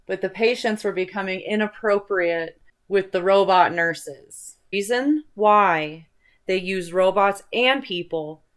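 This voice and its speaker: A woman hosting an online video, talking in a casual, rambling, chatty way with informal enthusiasm for what interests her. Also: medium pitch. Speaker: host of an online video channel.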